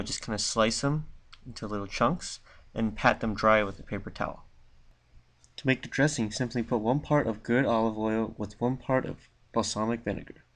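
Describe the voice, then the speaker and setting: Young male host, easygoing home-cook patter, mid-pitched, relaxed delivery. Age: young adult. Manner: laid-back, lots of "kinda" and "like". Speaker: cooking show host. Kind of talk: casual cooking tutorial. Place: cluttered room mid-move, indoors.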